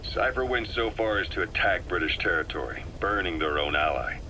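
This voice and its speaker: A composed, worldly military operative. His voice is low with a hard, bright edge, measured and matter-of-fact as he briefs a comrade in a video game, over faint tape noise. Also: lively intonation, poor audio.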